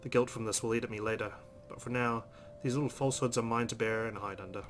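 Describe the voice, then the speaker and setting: A man's voice: mid-range voice, steady tone, fast talker, slight background noise. A man hosting a let's play, expressively reading a visual novel aloud and adding his own asides.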